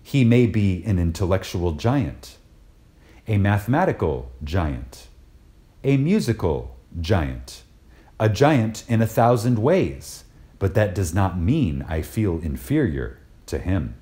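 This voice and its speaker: A man reading a spiritual lecture aloud in a reverent, dramatic style, deliberate and emphatic, with pauses for effect. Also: deep voice, sing-song, warm tone.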